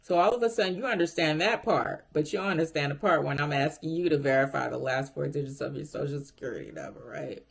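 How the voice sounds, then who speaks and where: Woman vlogger, deep gravelly voice, chatty storyteller talking to viewers. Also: quick pace, hardly any pauses. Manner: chatty and animated, addresses viewers directly. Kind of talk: casual storytime.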